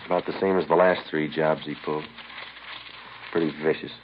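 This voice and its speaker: A man playing a terse, weary police sergeant in a vintage radio crime drama, deadpan and matter-of-fact. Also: a bass voice, a little fast. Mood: grim, matter-of-fact. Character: terse, weary male police sergeant narrating his case. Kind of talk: 1950s radio drama acting, clipped procedural narration. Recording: muffled, noisy vintage radio recording.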